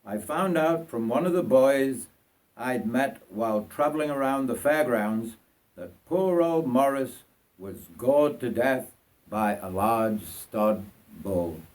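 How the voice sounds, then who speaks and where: Slow-paced older man telling his story. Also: mid-range voice, a little mellow. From North Yorkshire, England. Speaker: writer reading own memoir. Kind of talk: scripted autobiographical storytelling.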